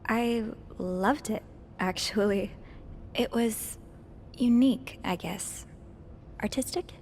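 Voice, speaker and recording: A woman's voice: on the high side, slow pace, a little mellow, a little hiss or hum. A young woman acting an earnest, sweet hotel maid and amateur sleuth in a video game, in a natural, slightly hesitant manner. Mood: flustered.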